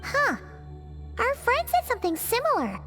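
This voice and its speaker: A woman voicing a tiny, excitable fairy companion in a video game, childlike, squeaky and chatty with a theatrical, exclamatory manner. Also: moderate pace, full character voice. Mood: intrigued, surprised. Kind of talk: English video game voice acting. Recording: music behind the voice.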